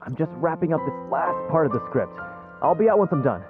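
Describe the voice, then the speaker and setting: A man's voice: on the high side, expressive, brisk, lightly acted. A man voice acting a harried, stubborn scriptwriter in a video game, curt and impatient. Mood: irritated. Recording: muffled thin phone-like sound, music behind.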